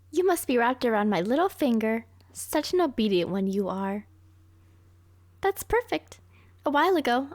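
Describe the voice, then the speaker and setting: A woman's voice: sits high, dry recording, clean studio sound, pitched above the performer's own voice. A woman playing a smug, brilliant, manipulative young serial killer girlfriend in an anime roleplay, boastful and coaxing. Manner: boastful, coaxing, self-satisfied.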